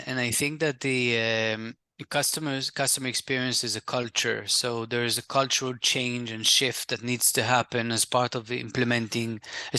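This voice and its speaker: Man with a bright, flat, crisp voice. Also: medium pitch, no room sound. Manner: measured, explanatory, lists many examples. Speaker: software vendor presenter. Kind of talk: product demo talk in a webinar.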